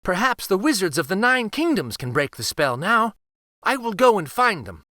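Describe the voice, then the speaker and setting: A man's voice: high voice, sing-song, moderate pace, sharp tone, no echo, studio quality, voice pushed up, full character voice. A man voicing an earnest, brave young woman, the pleading heroine of a storybook fairytale. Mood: resolved.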